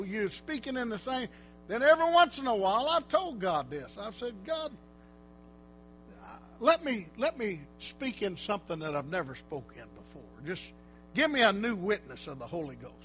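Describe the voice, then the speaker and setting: A man preaching informally in church, rambling and folksy, breaking into loud exhortations. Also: high-pitched, rough recording.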